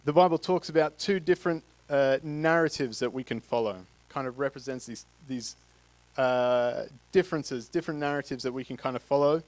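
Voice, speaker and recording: Halting Australian man preaching. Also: mid-range voice, no echo, rough recording. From Queensland, Australia. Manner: halting, many fillers and restarts. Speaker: guest speaker from a charity. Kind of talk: church talk to a congregation. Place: church stage with a live congregation. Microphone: handheld mic.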